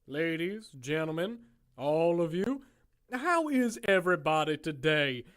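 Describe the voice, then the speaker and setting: A man's voice: a little high, sing-song, a little slow, fairly bright tone. A man playing a breezy, vain circus owner in an audio drama, with smug, folksy politeness. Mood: breezy, showy charm. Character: breezy, vain showman who owns a prison circus.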